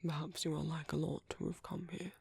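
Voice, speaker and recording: A British man playing a caring, fatherly vampire priest in an ASMR roleplay, speaking softly and slowly into a close microphone with formal, gentle courtesy. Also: fairly high pitch, flat delivery, no room sound, exaggerated voice. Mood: reassuring, gently curious. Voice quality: soft, breathy, often whispering. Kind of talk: soft ASMR roleplay, intimate and slow. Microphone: close microphone, dry.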